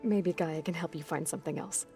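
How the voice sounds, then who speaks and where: Game lead: young female warrior, lowish voice, weary but determined, matter-of-fact. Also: fairly quick, warm tone, faint music behind. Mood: weary, friendly. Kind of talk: video game cutscene acting.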